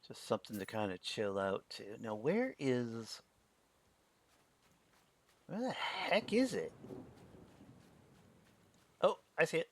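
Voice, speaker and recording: A man streaming a game, chatting in a relaxed, rambling way. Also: medium pitch, slow pace, pauses often. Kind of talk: casual commentary while gaming.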